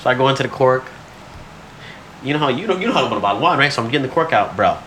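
A man's voice: medium pitch, lively intonation, racing, fairly bright tone, a little hiss or hum, rough recording. A man telling stories in casual podcast banter, slangy and profane, often acting out what people said. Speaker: podcast co-host.

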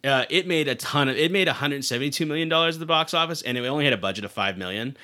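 A man's voice: fairly high pitch, rapid-fire, sharp tone. A man hosting a casual podcast discussion, steering the conversation along. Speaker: podcast host. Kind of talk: casual chat.

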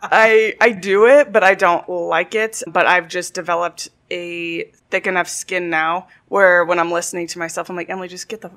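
Young woman comic on a podcast, lowish voice, soft-spoken and thoughtful. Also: level tone, moderate pace, hardly any pauses. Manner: soft-spoken, reflective, often laughing. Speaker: stand-up comedian guest. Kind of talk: casual interview chat.

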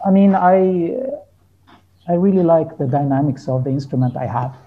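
A man's voice: on the high side, normal speed, phone-quality sound, a little hiss or hum. A man chatting as an interview guest, searching for words in English. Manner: hesitant, searching for words in non-native English. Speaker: interview guest, folk musician. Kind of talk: casual conversational interview.

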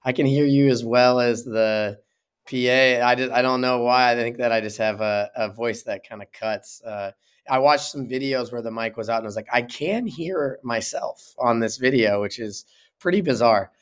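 A man's voice: medium pitch, moderate pace, clean tone. A man, a musician guest, talking casually in an interview, often repeating words as he gathers his thoughts.

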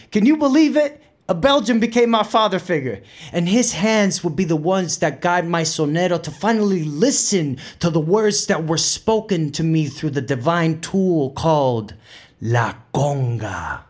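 An actor playing a proud, fiery Puerto Rican bandleader from the Bronx, with a New York Puerto Rican accent, in an impassioned audio drama monologue that slips between English and Spanish. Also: male voice, on the high side. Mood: emphatic.

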